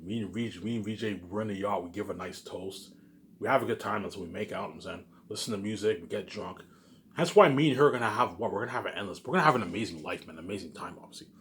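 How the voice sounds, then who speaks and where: A man's voice: lowish voice, very fast, fairly bright tone, slight background noise. A man in a casual, rambling monologue, boastful and repetitive, emphatic and swearing freely as he leans on the same catchphrases. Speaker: online video creator.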